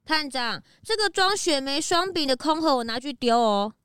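A woman's voice: sits high, expressive, moderate pace, a bit bright, no echo, faint music behind. A woman voicing a naive, eager young male animal sidekick to a detective, curious and childlike, in a children's audio drama. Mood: puzzled, chatty. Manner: childlike, curious, exclamatory questioning.

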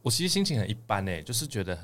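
Animated young Taiwanese man, joking around. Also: lowish voice, racing. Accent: Taiwanese Mandarin. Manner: joking, self-mocking. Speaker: podcast guest, singer. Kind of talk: casual interview chat.

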